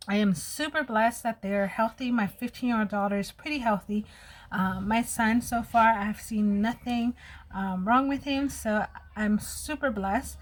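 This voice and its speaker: A woman in her thirties with a slight lisp, chatting casually and openly to her viewers while doing her makeup. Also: on the high side. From United States. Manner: chatty, open and personal. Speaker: vlogger.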